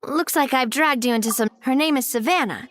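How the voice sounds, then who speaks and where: An actress playing a poised, friendly and wry young woman in a video game, talking conversationally like an expressive storyteller. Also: high voice, a little fast. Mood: earnest, apologetic.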